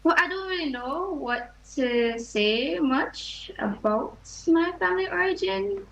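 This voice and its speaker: A teenage girl from Jamaica, interviewed over a video call, answering softly and hesitantly. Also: extremely high pitch, lively intonation, takes it slowly, vocal fry. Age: late teens. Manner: soft, hesitant, many ums. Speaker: student guest. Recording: dull lo-fi video call audio.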